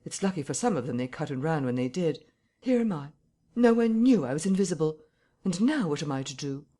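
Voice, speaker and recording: A reader voicing a stern, threatening unseen man who gives curt orders with quiet emphasis. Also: mid-range voice, sing-song, normal speed, husky. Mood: menacing.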